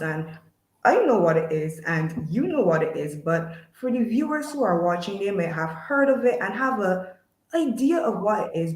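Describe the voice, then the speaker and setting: A woman hosting an interview show, welcoming her guest and inviting viewers' questions in a polite, formal, measured way. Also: on the low side, darkish tone, roomy sound, low-quality recording. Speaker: host. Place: studio.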